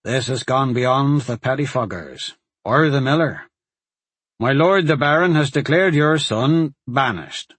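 A man's voice: mid-range voice, animated, fairly bright tone, breathy edge, no room sound. A man playing a stern careful sheriff. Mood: firm. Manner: cautioning, measured. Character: worried loyal sheriff, middle-aged man, stern.

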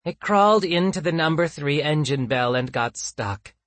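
A man's voice: fairly high pitch, animated, normal speed, a bit bright, dry recording, voice pushed up. A man voicing a fussy, chirpy, self-satisfied robot drone with prim, formal manners, as in an audiobook. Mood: mock regretful. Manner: prim, formal, mock-polite phrasing.

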